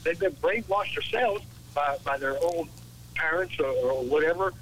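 An American man in his late sixties, calling a radio show, talking politics casually in a halting, repetitive, exasperated way over a telephone line. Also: a little high, a bit bright. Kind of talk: casual political phone-in chat. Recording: noisy telephone call to radio.

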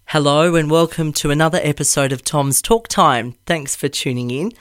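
Smooth-voiced male podcast host. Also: on the high side, moderate pace, no room sound, studio quality. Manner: gentle, supportive questioning. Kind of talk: scripted intro then interview questions.

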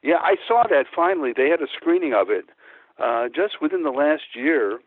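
A man's voice: on the high side, lively intonation, normal speed, husky, no room sound. An older man who grew up in Chicago, a musician guest reminiscing casually in an interview, talking in a hesitant, rambling way. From Texas-born, grew up in Chicago. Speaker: guest, former band musician. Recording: tinny phone line, narrow band.

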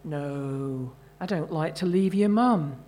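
A woman voicing an elderly father, a gentle, wistful and loyal husband, in a short story reading. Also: low-pitched, slow pace, a little hiss or hum, pitched below the performer's own voice. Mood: tender.

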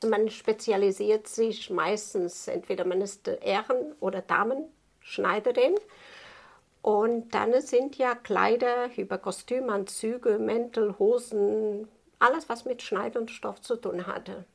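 Halting, creaky Portuguese-accented woman, slow talker. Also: medium pitch, steady tone. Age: 50s. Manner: halting, searching for words, non-native grammar. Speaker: tailor being interviewed. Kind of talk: spontaneous interview answers explaining a craft.

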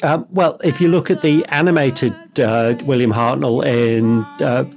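A man's voice: medium pitch, measured pace, vocal fry, a little mellow, faint music behind. A man from England co-hosting a podcast, chatting in an easygoing way as an episode plays. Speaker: podcast co-host. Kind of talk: casual commentary while watching.

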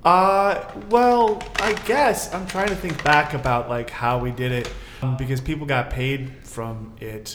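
A man's voice: mid-range voice, a little slow. A man, probably middle-aged, chatting casually on a panel and thinking aloud in a discursive way. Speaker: guest co-host on a theater podcast. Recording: roomy echo, faint background noise.

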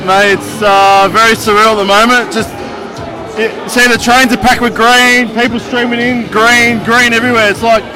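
An Australian man, a regular fan guest, talking outdoors in excited short bursts. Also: very high voice, moderate pace. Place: outdoors at a crowded bar. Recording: loud crowd and music behind.

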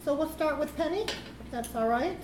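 A woman's voice: high-pitched, expressive, normal speed. A woman chairing a live panel, introducing speakers in an informal way with frequent fillers. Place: event hall with live audience. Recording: echoey hall, background noise.

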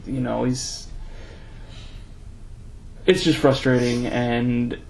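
A man co-hosting a casual fantasy football podcast, talking haltingly with many restarts. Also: medium pitch, steady tone, gravelly, darkish tone, poor audio. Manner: hesitant, many fillers and restarts. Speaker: podcast co-host.

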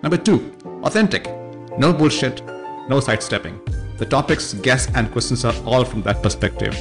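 A man's voice: medium pitch, lively intonation, normal speed. A man delivering a punchy, emphatic scripted intro for his finance podcast. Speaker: podcast host. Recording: intro over loud music.

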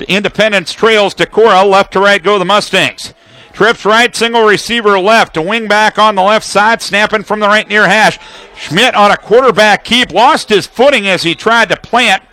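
A man with an American accent calling live football play-by-play on the radio in an animated, clipped way from a press booth. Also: very high-pitched. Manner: animated, clipped phrasing, shouts on big plays.

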